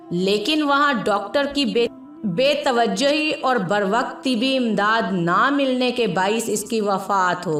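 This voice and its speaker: A woman's voice: fairly high pitch, a bit bright. A woman reading a scripted moral story as its narrator. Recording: roomy echo with music behind. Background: music.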